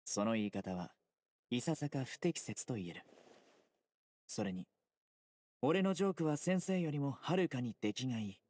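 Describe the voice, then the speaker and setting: A man voicing a stern, serious young desert-born enforcer in an anime game, speaking formally and bluntly in a deadpan. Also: mid-range voice, normal speed, pauses often. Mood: serious, composed. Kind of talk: Japanese anime game voice acting. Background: music.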